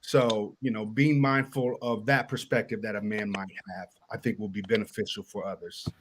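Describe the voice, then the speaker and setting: Male panel guest, measured and hesitant delivery. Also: on the low side, fairly even intonation, a little hiss or hum. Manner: measured, hesitant, with fillers and restarts. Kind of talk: reflective panel talk. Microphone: video call.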